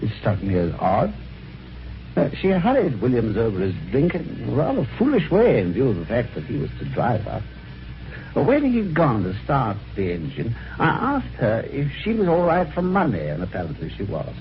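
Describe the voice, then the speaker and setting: A polite, somewhat dim British husband in a vintage radio mystery. His delivery is very animated, with chatty hesitations and old-fashioned manners. The recording is dull, with a faint layer of noise. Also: mid-range voice, normal speed. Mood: puzzled, reflective. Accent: British English. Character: well-mannered, slightly dim English husband recounting a mystery. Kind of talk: old-time radio mystery acting, narration and dialogue.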